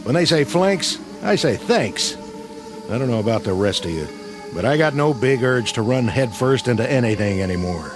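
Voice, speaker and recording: A man's voice: medium pitch, animated, moderate pace, over music, close to an everyday voice. Man playing a sarcastic, war-weary army sergeant. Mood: weary, wry. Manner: sarcastic, slangy, gruff soldier talk. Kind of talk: radio drama acting.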